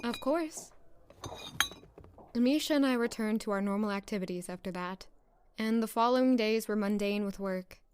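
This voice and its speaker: A woman's voice: mid-range voice, normal speed, darkish tone, slight background noise, natural voice. An actress playing a bashful, wry young working woman in an audio drama. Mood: wry, reflective. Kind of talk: audio drama acting and narration.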